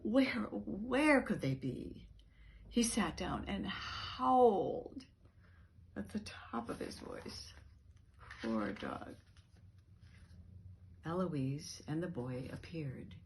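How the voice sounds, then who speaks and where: A woman's voice: fairly low pitch, very animated, very slow, vocal fry, pauses often, slight background noise. A woman reading a children's picture book aloud, expressively, stressing key words and adding asides as storyteller. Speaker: storyteller, reading organisation's founder.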